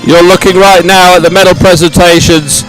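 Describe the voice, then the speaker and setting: A man's voice: high-pitched, level tone, moderate pace, rough recording. A middle-aged man giving live, ad-libbed sports commentary in an effusive, sentimental way, repeating phrases for emphasis. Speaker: event commentator. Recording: music playing behind the voice. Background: loud stadium music at a medal ceremony.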